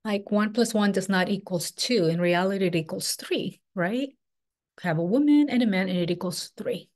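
A woman's voice: mid-range voice, normal speed, a little mellow. A woman, teaching a spiritual workshop over a video call in a loose, flowing way with many "like"s. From Peru. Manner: casual, flowing, filler-heavy with frequent "like" and "um". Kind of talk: online workshop presentation. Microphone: webcam on video call.

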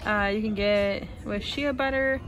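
A woman's voice: medium pitch, measured pace, a bit bright. A young woman filming a shopping vlog in a store aisle, chattily reading out product labels and prices with lots of fillers. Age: young adult. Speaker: shopping vlogger. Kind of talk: casual shop-with-me narration.

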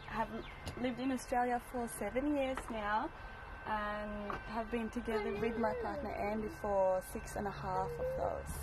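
A woman's voice: on the high side, measured pace, heavy background noise. A woman in her thirties from Sweden with a Swedish accent, chatting casually to her phone camera in a parked car. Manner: chatty, relaxed, with fillers. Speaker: mum vlogger. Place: parked car, indoors at home. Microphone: phone held at arm's length.

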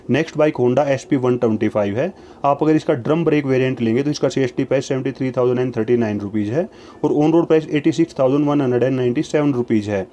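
A man reads price lists at a brisk pace, each entry in the same steady pattern. His voice is warm and mellow, and the delivery stays even and matter-of-fact throughout. It is a voice-over laid over price slides. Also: medium pitch, slight background noise. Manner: steady, even list reading in set formulas. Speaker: narrator reading price lists. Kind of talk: scripted voice-over reading prices.

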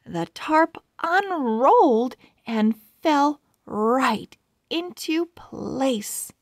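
A woman narrating a children's storybook aloud, expressive and carefully articulated. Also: high-pitched, extremely slow, no echo, clean studio sound. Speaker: children's book read-aloud host.

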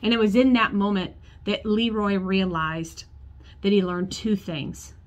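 A woman pastor in her forties preaches from her office desk, telling a story. Her voice sits at a middle pitch, and she talks to the camera as if to a congregation. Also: unhurried. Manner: storytelling, acts out quoted voices, gestures with hands. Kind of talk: sermon. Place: church office with bookshelves, indoors.

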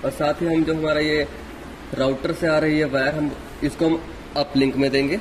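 A man's voice: fairly high pitch, level tone, normal speed. Man demonstrating, noisy amateur recording. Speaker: installer giving a product tutorial. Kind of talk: step-by-step demonstration, unscripted. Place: indoors in a room.

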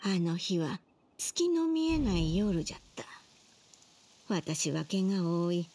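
An actress voicing a frail, gentle old grandmother who speaks in an old-fashioned way, heard as game audio. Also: fairly low pitch, big pitch swings, measured pace, slight background noise. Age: older. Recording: game audio with music underneath.

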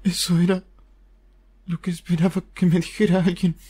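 A man's voice: high voice, little pitch movement, moderate pace, darkish tone, breathy edge. A man with a Latin American Spanish accent playing a bitter, betrayed young hero in an anime fan dub, brooding, distrustful and anguished. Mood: moved. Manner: brooding, bursting into anguished outcries.

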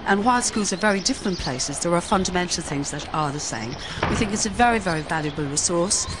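A woman deputy head teacher answering interview questions in a school playground, earnest and measured with formal phrasing. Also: on the low side, lively intonation. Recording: loud outdoor background noise.

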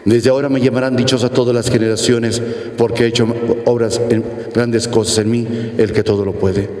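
Mexican priest preaching in an echoing church. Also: male voice, mid-range voice, flat delivery, a little fast, darkish tone. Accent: Mexican Spanish. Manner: earnest preaching with repeated phrases and gestures. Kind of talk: homily at Mass. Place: large church sanctuary, at the pulpit. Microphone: pulpit mic, medium camera shot. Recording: echoey church with long reverb.

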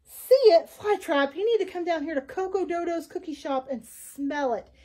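A woman voicing an excitable, pushy male dodo who runs a cookie shop and talks over everyone, in a storybook read-aloud. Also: very high voice, normal speed, voice pushed up. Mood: brash.

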